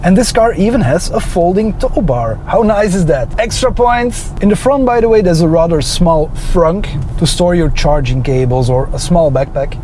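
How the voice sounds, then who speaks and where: A man's voice: high voice, expressive, moderate pace, a little mellow, slight background noise, rough recording. A man with a Dutch accent and Dutch as his first language, narrating an enthusiastic, opinionated car review with frequent "uh" fillers.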